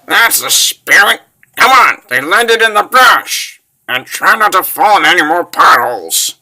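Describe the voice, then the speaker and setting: A man playing a wry, grumbling wizard in a fantasy comic dub, folksy, animated and full of wisecracks. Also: medium pitch, normal speed, full character voice. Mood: taunting, excited.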